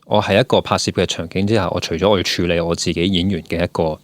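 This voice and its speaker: Male interviewer, Hong Kong accent, low voice, dry close sound, explanatory delivery peppered with English. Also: fairly even intonation, quick pace, no room sound. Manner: explanatory, mixes English words into speech. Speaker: podcast host interviewing a guest. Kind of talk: conversational interview.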